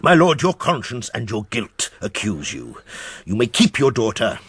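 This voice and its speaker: A very rough, husky voice with big swings of pitch plays a proud marquis. He is courtly and formal, in the style of a gothic radio play. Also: wildly swooping pitch, normal speed, no room sound, clean studio sound, heavily acted. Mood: stern, reproachful. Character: proud visiting nobleman, courteous but susceptible to temptation. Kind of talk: radio drama acting.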